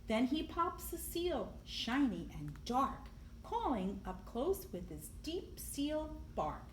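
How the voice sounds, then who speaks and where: A woman reading a picture book aloud to young children in an expressive, deliberate storytelling manner, with emphasis on the sound words. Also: high-pitched. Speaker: library storyteller. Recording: echoey room, clear background noise.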